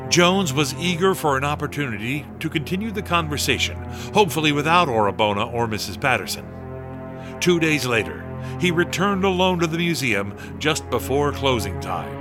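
An actor playing the composed narrator of a radio horror drama, telling the tale in a formal, literary way. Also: male voice, a little high, very animated, moderate pace, over music. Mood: measured.

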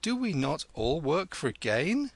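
A man's voice: a little high, takes it slowly, vocal fry, no room sound, pitched above the performer's own voice, full character voice. A man doing a wheedling street boy. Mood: sly. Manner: wheedling, flattering.

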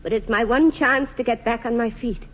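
Actress as high-voiced wronged wife, old radio. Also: female voice, normal speed, natural voice. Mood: weary, resigned. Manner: earnest, folksy ungrammatical speech. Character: plain-spoken, long-suffering, wronged working-class wife. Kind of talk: 1940s radio drama acting. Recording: dull, noisy vintage radio recording.

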